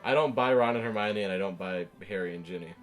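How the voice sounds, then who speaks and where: A man's voice: on the low side, fast, faint music behind. A young man co-hosting a gaming show, giving casual, opinionated and joking commentary.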